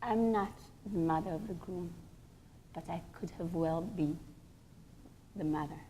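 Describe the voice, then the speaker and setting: A woman storyteller voicing a respectable older lady who speaks in a polite, measured, emphatic way. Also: fairly low pitch, dark tone, slight background noise, voice pushed down, close to an everyday voice, poor audio. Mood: quiet, pointed.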